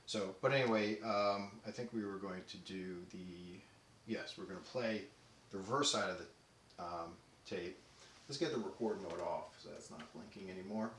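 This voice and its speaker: A man casually demonstrating a tape deck, talking in a relaxed way with many ums and restarts. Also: low-pitched, slight background noise. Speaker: audio equipment reviewer. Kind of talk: casual product demo. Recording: roomy echo with faint music.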